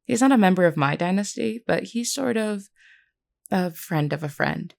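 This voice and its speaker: A woman voicing a gentle, candid vampire queen in an audio roleplay, speaking in a measured, reassuring way with formal wording to one listener. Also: medium pitch, expressive, gravelly. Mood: warm, wry.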